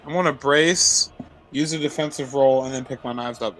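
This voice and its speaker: A man's voice: mid-range voice, moderate pace, fairly bright tone, low-quality recording. A man playing a tabletop game, asking hesitant questions about the rules. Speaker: player.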